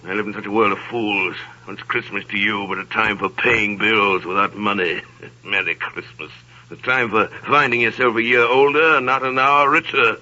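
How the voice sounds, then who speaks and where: A sneering, tight-fisted old man in a 1930s radio drama. His tone is hard and bright, and every line comes out as a scornful snap. The sound is muffled, lo-fi broadcast audio. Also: lowish voice, fairly even intonation, moderate pace. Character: miserly, bitter old male moneylender Scrooge.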